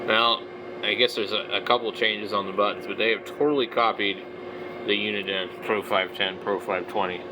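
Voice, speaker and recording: A man's voice: lowish voice, steady tone, moderate pace, background noise. A middle-aged man giving casual, unscripted commentary as a hobby vlogger, blunt and opinionated, with some slangy wording.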